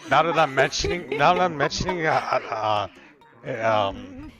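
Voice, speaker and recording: A man's voice: mid-range voice, expressive, moderate pace, a bit bright, soft background music. A man improvising as an awkward, earnest dragon in human form, stammering a little and choosing formal words. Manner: hesitant, stammering, formal wording. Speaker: roleplayer in an online fantasy game. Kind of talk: improvised in-character roleplay.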